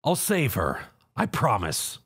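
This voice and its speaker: A man's voice: lowish voice, huge pitch swings, slow pace, a bit bright, breathy edge, heavily acted. A man voicing an earnest armored hero, urgent and quippy, in a story. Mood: focused.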